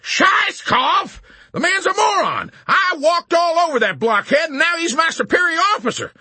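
Audiobook army general: shrill, wailing, composure gone, very high and forceful. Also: male voice, very animated, moderate pace, no room sound, heavily acted. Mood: screaming panic. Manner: shrill screaming, wailing, frantic. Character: hysterical pompous male army general, panicking and wailing.

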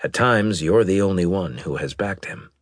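A man voicing a large, gruff admiral in an audiobook, husky, deliberate and authoritative, with a skeptical, probing manner. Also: sits low, lively intonation, dry recording, studio quality. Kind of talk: audiobook character dialogue.

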